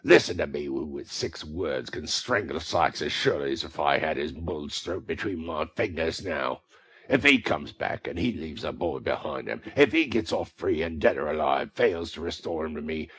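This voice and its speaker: A man voicing a scheming old male thief-master who snarls with scorn and croaks when he coaxes. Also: very deep voice, full character voice. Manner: scornful, then wheedling and croaking.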